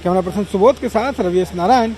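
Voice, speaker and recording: Mellow high-voiced male field reporter. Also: a little fast. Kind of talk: news report sign-off to camera. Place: outdoors on location. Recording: faint outdoor ambient noise.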